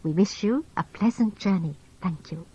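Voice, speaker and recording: A woman reading scripted onboard train announcements in a formal, measured way. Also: lowish voice, warm tone, slightly rough. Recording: dull lo-fi old cassette tape.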